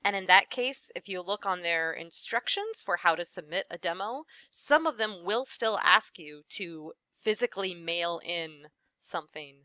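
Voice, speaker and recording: Bright, smooth-voiced young woman. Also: lowish voice, expressive, moderate pace, hardly any pauses, no room sound. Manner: chatty, laughs often, trails off. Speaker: voice actress, guest. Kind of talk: casual interview chat.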